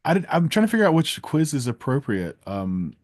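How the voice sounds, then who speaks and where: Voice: mid-range voice, very animated, brisk, darkish tone. A co-host with a wry, teasing way of talking, chatting casually and presenting quizzes. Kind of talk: casual chat.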